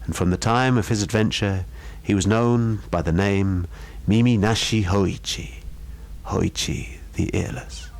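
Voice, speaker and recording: A male storyteller with a fairly low voice reads a horror tale. His delivery is theatrical and deliberate, made for dramatic effect. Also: steady tone. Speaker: storyteller, guest performer.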